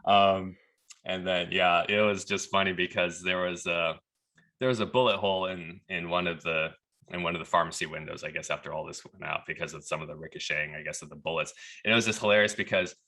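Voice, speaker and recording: Low bright-voiced guy from texas, chatty storytelling on a podcast. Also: brisk. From Texas, USA. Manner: relaxed, chatty, laughs at the absurd parts. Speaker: podcast guest. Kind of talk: casual storytelling.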